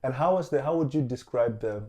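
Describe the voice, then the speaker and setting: A man's voice: mid-range voice, brisk, dark tone. A man hosting a podcast, interviewing a guest in a casual way and often speaking to his listeners as "guys". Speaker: podcast host.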